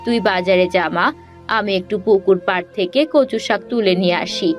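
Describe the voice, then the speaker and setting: Woman as a gentle, weary village mother. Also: medium pitch, normal speed, faint music behind, lightly acted. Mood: caring. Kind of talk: Bengali animated fairy-tale voice acting.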